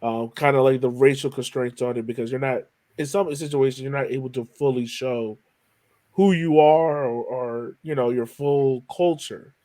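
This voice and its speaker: A man's voice: medium pitch, moderate pace, warm tone, no echo. Male podcast interviewer, close dry sound. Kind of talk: casual interview questioning.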